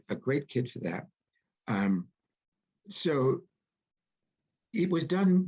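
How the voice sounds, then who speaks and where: Husky man explaining in an interview, dull audio. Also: medium pitch, a little mellow. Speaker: author and audio producer being interviewed. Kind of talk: interview conversation.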